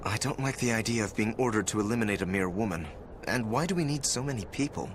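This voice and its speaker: An actor playing a wary, reluctant soldier on a hunt in a video game, brisk and guarded. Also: male voice, fairly low pitch, lively intonation, background noise, lightly acted. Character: wary hired male hunter, a reluctant but ready soldier.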